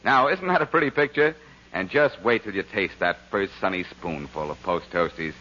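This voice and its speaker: A male commercial announcer sells in a vintage radio style. His voice is bright and husky, with folksy, animated emphasis, on a dull old recording. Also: deep voice, moderate pace. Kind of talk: 1940s radio advertising pitch.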